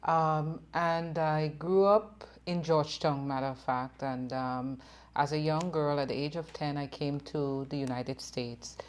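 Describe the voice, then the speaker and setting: A woman's voice: deep voice, steady tone, unhurried, clean tone. A woman from Guyana, a licensed embalmer, introducing herself in a friendly, chatty way with fillers. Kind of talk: guest interview answers. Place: studio.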